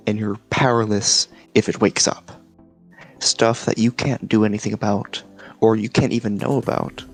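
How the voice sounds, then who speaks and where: A man talking as a guest in a casual explanatory chat, halting as he searches for his words. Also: lowish voice, creaky. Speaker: guest, series creator.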